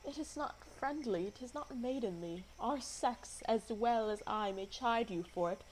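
A woman's voice: a little high, moderate pace, a little hiss or hum, close to an everyday voice, poor audio. A woman playing an aggrieved, lovelorn young woman in a Shakespeare radio play, declaiming theatrically in verse. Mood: hurt, reproachful.

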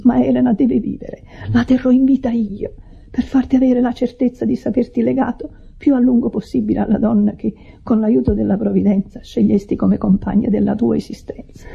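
An actress in a radio drama playing an anxious, pious, smothering woman who nurses an invalid, fretful and hushed, with a husky voice. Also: on the high side, level tone, fairly quick, full character voice. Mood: pitying, fearful. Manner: fretful, hushed, theatrically solicitous. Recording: dull lo-fi radio recording.

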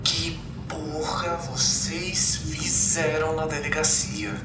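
A man's voice: a little high, flat delivery, takes it slowly, echoey room, distant mic, over loud music, voice pushed up. A man playing an angry, foul-mouthed Brazilian policeman who feels betrayed, in a tabletop game. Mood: indignant, accusing. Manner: indignant, profane, demanding. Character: angry exemplary policeman, new father, feeling betrayed, cursing.

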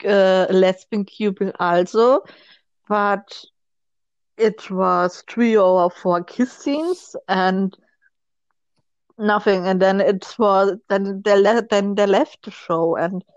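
A woman's voice: medium pitch, takes it slowly, no echo. A woman, chatting casually and hesitantly in English, searching for words. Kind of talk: casual chat. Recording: dull lo-fi close dry sound.

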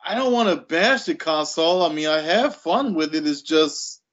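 A man's voice: a little high, animated, normal speed, fairly bright tone, dull audio. A man in his late thirties chatting casually as a podcast guest. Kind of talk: casual chat, personal storytelling.

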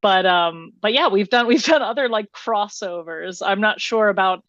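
A woman speaks as a podcast guest in a casual, conversational way. Her pitch is average for a woman. The recording is dry and a little muffled. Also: normal speed, fairly bright tone, no echo. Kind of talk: casual chat.

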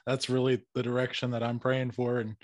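A man's voice: mid-range voice, completely flat delivery, fairly quick, no room sound, clean studio sound. A man hosting a casual interview, speaking in an agreeable, earnest manner.

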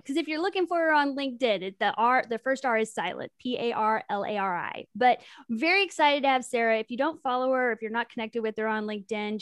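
Chatty high-voiced woman host. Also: a bit bright, clean tone, no room sound. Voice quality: high, light voice. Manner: chatty, self-deprecating, rambling with fillers. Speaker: podcast co-host. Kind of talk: casual chat and guest introduction. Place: home studio in North Texas. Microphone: new large close microphone.

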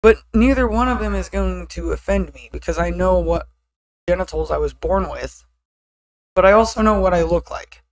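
A woman with a deeper voice chatting casually as a podcast co-host, blunt and often laughing at herself. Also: moderate pace, rough recording. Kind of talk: casual chat.

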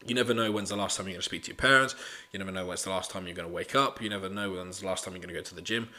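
A man's voice: low voice, rapid-fire, sharp tone. A man, hosting a casual solo podcast, blunt and motivational, swearing freely and leaning on "you know". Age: 20s. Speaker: podcast host.

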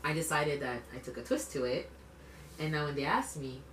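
A blunt, outspoken woman in her late twenties from Canada, speaking with a fairly low voice in a casual podcast chat. She drives her points home with plenty of "like"s. The sound is roomy, with the mic set back from her. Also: lively intonation, normal speed, far from the mic, a little hiss or hum. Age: late 20s. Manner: emphatic, blunt, outspoken, with lots of filler "like". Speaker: podcast co-host. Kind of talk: casual conversational discussion.

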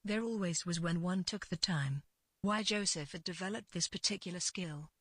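Husky, low-voiced woman narrating fiction. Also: moderate pace, no echo, studio quality. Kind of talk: scripted literary fiction narration.

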